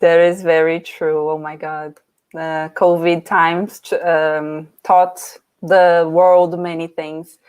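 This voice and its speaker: A woman hosting an interview over a video call, asking questions in a halting way with many fillers and restarts. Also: low-pitched, little pitch movement, darkish tone, clear, resonant tone. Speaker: host.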